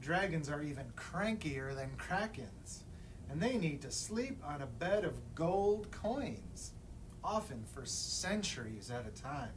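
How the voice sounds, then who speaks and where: A man's voice: on the high side, sing-song, slow pace. A man reading a children's picture book aloud as a librarian at story time, expressively, putting questions to young listeners. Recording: distant mic, room noise.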